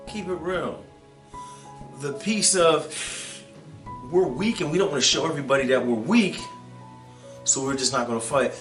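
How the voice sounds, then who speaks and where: A man's voice: a little high, fairly bright tone. Colloquial American man teaching, roomy echo. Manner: colloquial, emphatic, rhetorical. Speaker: history teacher and video host. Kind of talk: informal lesson commentary on a historical text. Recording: roomy echo with music behind. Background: background music.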